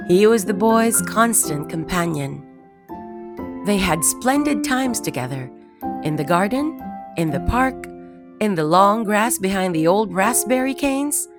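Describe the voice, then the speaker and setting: A woman narrating a scripted children's bedtime story in a gentle, measured, soothing manner. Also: medium pitch. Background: music.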